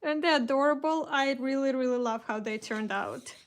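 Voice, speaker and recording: Woman, Russian accent, fairly high voice, chatty live-stream host, lots of fillers and restarts. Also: fairly quick. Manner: chatty, greets viewers by name, many fillers and restarts. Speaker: craft shop owner hosting a live kit reveal. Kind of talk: casual live-stream chat.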